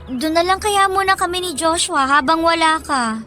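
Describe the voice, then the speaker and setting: A woman's voice: very high voice, normal speed, pushed and bright, soft background music. A woman playing an anxious young wife and mother in a radio drama, speaking in a hesitant, plaintive way. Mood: dismayed, plaintive.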